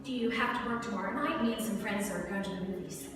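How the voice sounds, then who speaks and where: A woman's voice: medium pitch, fast talker, natural voice. A woman playing a sweet, friendly young woman in a film script reading. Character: sweet young party girl making friendly small talk. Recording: echoey hall from far off, music.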